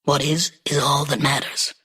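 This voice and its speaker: An actress playing a small, wary, pragmatic goblin in a video game, with a husky voice and clipped, broken phrasing. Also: female voice, sits low, little pitch movement, normal speed, fairly bright tone, heavily acted. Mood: wary, matter-of-fact.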